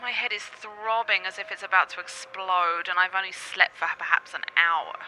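A woman's voice: mid-range voice, fairly even intonation, moderate pace, sharp tone, a little hiss or hum, rough recording. An actress playing a doctor's ailing but strong-willed girlfriend, speaking in a wry, theatrical radio play manner. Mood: weary, troubled.

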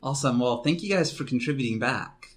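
A man with an ordinary mid-range voice hosts a casual technical interview. He asks questions in a relaxed, conversational way. His talk is loose and he often says "like". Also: mid-range voice, quick pace. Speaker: podcast host.

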